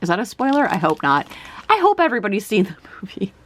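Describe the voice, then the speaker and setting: A woman talking casually to her viewers as she opens a box of trading cards. Her pitch rises and falls a lot, and she thinks out loud, with fillers and questions to the audience. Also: wildly swooping pitch, quick pace, a little hiss or hum. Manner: chatty, musing aloud with fillers and questions to viewers. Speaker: card collecting vlogger. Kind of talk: casual card opening chat.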